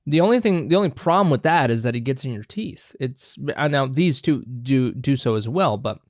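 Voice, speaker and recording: A man's voice: medium pitch, animated, normal speed, dry recording, studio quality. A congested man hosting a podcast, chatting casually and rambling with many restarts while he snacks. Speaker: podcast host. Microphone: own camera and mic, close and dry.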